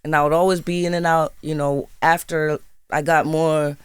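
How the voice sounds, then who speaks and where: A young woman talks as a podcast guest, animated and ready to laugh. Her voice is smooth and clear, and the recording is close and dry. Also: sits low, moderate pace, dry recording. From Zimbabwe. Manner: lively storyteller, animated, laughs easily. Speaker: guest musician. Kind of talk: casual interview chat.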